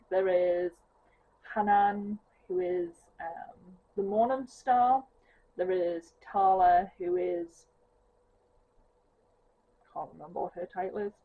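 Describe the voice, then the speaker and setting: Geordie woman, casual chatty vlogger; fry in the voice, faint hiss behind. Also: medium pitch. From northeast England. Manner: chatty, rambling with many ums. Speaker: craft vlogger. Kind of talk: casual chat to camera. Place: home living room, sitting on a sofa. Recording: tinny phone-like sound with faint hiss.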